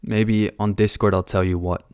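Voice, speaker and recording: A man's voice: lowish voice, flat delivery, moderate pace, darkish tone, clean tone, dry recording, studio quality. A young man hosting a live trading stream indoors on a headset mic, reading out chat questions and answering them in a casual, offhand way. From born in London. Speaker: trading livestream host. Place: small room indoors.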